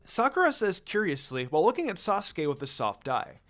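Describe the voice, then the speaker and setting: A man's voice: high voice, big pitch swings, fairly quick, a bit bright. A man narrating a scripted story expressively, reading fan fiction aloud. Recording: music under the voice. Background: music.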